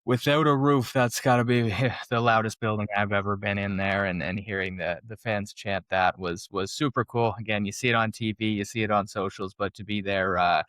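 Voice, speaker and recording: A man's voice: lowish voice, fairly even intonation, moderate pace, few pauses, fairly bright tone, no room sound. A man hosting a podcast interview, enthusiastic and affirming as he talks with his guest. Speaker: podcast host. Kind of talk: casual interview chat.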